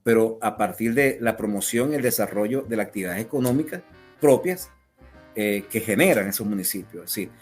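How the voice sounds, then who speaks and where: A man's voice: on the low side, lively intonation, fairly quick, soft background music. A man with a Venezuelan accent, an analyst being interviewed, explaining his views with emphatic repetition. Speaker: political analyst, interview guest.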